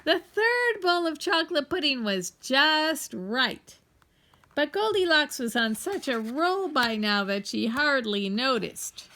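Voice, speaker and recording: A woman reading a children's story aloud in an expressive, playfully wry and deliberate way. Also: high voice. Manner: playfully wry, deliberate, addresses young listeners. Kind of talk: animated storybook read-aloud.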